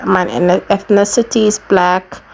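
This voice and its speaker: Namibian woman with a creaky voice. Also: mid-range voice, steady tone, slow pace, a little mellow, slight background noise. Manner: plain-spoken, explanatory, step by step. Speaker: YouTuber giving a how-to tutorial. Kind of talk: informal screen walkthrough, casual instruction.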